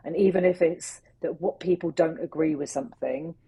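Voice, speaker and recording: Low, gravelly-voiced woman. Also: steady tone, moderate pace, dark tone. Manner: earnest, thoughtful, speaks in flowing run-on sentences. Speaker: interview guest, facilitator. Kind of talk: reflective conversational interview answers.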